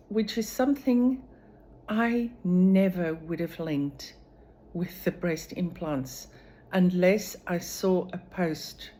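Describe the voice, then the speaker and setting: Low-voiced, unhurried woman. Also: mellow. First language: Afrikaans. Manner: slow, measured, with frequent pauses and fillers. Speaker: vlogger sharing her recovery. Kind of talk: personal video diary.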